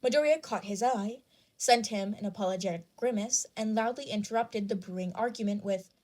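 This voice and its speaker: A woman's voice: medium pitch, fairly quick, a little mellow. A woman reading scripted fan fiction as the narrator.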